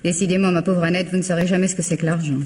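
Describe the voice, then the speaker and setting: An actress playing a cold, haughty bourgeois sister-in-law in a French radio mystery, with a condescending, formal, clipped delivery. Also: female voice, on the low side, little pitch movement, rapid-fire, darkish tone, a little hiss or hum, lightly acted. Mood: disdainful.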